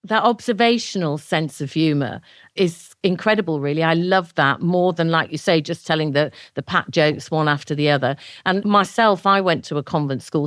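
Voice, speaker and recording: A woman's voice: low-pitched, dry recording. Woman podcast host with a close, dry sound. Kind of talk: podcast introduction and conversational interview.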